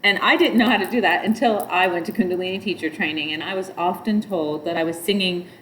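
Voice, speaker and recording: A middle-aged woman with a nasal voice, teaching yoga in a relaxed, rambling way. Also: fairly low pitch, fast, rough recording. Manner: chants mantras in a nasal sung tone between explanations. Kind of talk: spoken yoga instruction.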